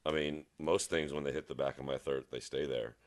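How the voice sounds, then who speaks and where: Man, very deep voice, rumbling low, halting rambling guest talk. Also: steady tone. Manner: rambling, self-mocking, halting. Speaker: podcast guest. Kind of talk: casual drinking chat.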